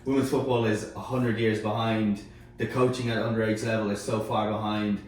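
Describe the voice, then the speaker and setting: A man talks through an argument in a casual panel chat. His voice is fairly low with little melodic movement. The room echoes heavily and he is some way from the mic. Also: level tone, normal speed. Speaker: sports team panel member. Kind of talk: casual round-table discussion. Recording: very echoey room, distant mic, faint noise.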